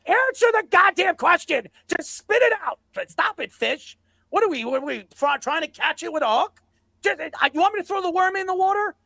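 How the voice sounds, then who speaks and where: A man's voice: extremely high pitch, lively intonation, fairly quick, gravelly, sharp tone, dry recording. A man hosting a sports debate show, blunt and combative, given to ranting and swearing.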